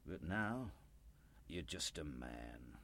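A man's voice: very deep voice, sing-song, takes it slowly, gravelly, fairly bright tone, full character voice. An actor in a radio play playing a bitter, self-pitying older man, folksy and sardonic. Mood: accusing, angry. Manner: folksy, sardonic, swinging from apologetic to accusing.

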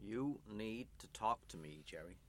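A man's voice: on the low side, animated, measured pace, a bit bright, a little hiss or hum. An actor in a radio play playing an earnest, guarded male preacher with a polite, reassuring manner. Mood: concerned. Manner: hesitant, polite, then earnest and exhorting.

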